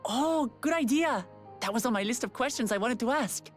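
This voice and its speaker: An actress playing an earnest young warrior princess in a video game, speaking directly and eagerly. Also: female voice, a little high, expressive, moderate pace, faint music behind, close to an everyday voice.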